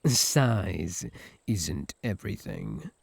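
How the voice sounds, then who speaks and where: A man's voice: sits low, wildly swooping pitch, extremely slow, vocal fry, slightly rough, no room sound, full character voice. A British man voicing a smug, possessive incubus suitor in a comedic ASMR roleplay, sarcastic and flirtatious. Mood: dry, mocking.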